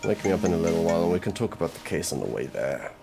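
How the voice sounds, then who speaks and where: A man playing a gruff, sardonic detective in an audio roleplay drama, drawling and murmuring through his pauses. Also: deep voice, sing-song, heavily acted. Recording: music behind the voice.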